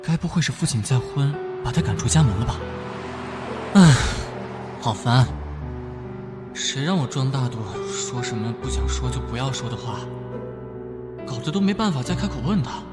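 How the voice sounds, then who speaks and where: A man acts out a caring young detective in an animated drama. His mid-pitched delivery is earnest and very lively. He sounds like a protective big brother. Music plays clearly behind him. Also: normal speed, long pauses, close to an everyday voice. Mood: wistful, pensive. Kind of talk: Mandarin animation dub acting with inner monologue. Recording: background music under the voice.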